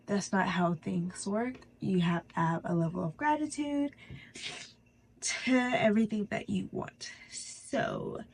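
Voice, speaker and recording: A woman with a stuffy, congested nose hosting a casual, chatty spiritual tutorial, informal and halting with pauses for breath. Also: medium pitch, vocal fry, breathy edge. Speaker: host of a spirituality channel.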